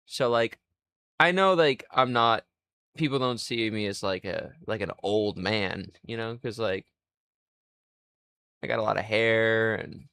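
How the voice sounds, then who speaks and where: A man in his twenties streaming live, rambling in a crude, self-mocking way and thinking out loud. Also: medium pitch, clear, resonant tone, no room sound. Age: 20s. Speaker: livestreamer. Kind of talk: casual livestream chat.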